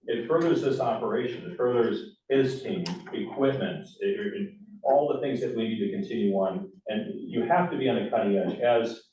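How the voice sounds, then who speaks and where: A man's voice: mid-range voice, normal speed, warm tone, slight background noise. A man in law enforcement explaining things to a public meeting, conversational, with rhetorical questions. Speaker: law enforcement official presenting. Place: large town meeting hall. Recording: echoey meeting hall, far mic, dull.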